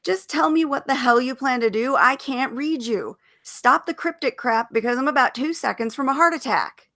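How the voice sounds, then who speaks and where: Woman acting a feisty, creaky-voiced female agent. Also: fairly high pitch, lively intonation, moderate pace, a bit bright, pitched above the performer's own voice. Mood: agitated, exasperated. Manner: pushy, emphatic questioning.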